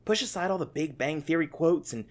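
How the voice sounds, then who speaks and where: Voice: medium pitch, lively intonation, fairly quick, close to an everyday voice. An actor playing a gleeful sadistic monster captor in an ASMR audio drama, theatrical and taunting with cloying affection. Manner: theatrical, taunting, sing-song pet names.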